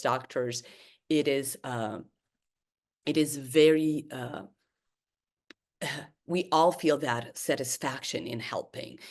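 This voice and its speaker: A woman's voice: sits low, darkish tone. Woman in her fifties, hesitant webinar lecture. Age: 50s. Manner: hesitant, many fillers and restarts. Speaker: therapist giving a webinar talk.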